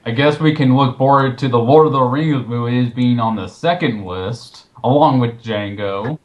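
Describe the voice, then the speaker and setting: A man's voice: mid-range voice, moderate pace, lo-fi sound. Sarcastic man hosting movie commentary. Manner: sarcastic, incredulous. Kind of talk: casual commentary with read-out quotes.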